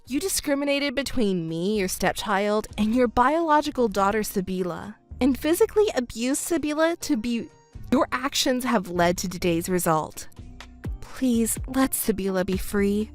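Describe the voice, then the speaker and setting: Woman performing a young adult stepdaughter, composed, measured, politely cutting, story drama delivery, faint music behind. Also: fairly high pitch, lively intonation, pitched below the performer's own voice, natural voice. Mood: stern.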